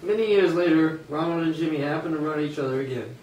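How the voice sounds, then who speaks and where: A man's voice: fairly high pitch, fast talker, a little mellow. A man giving scripted narration for an amateur show. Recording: echoey room, distant mic, faint noise.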